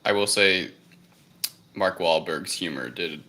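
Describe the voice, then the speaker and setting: A man's voice: low voice, takes it slowly, pushed and bright. A man on a podcast panel, casually chatting through his opinions on movies.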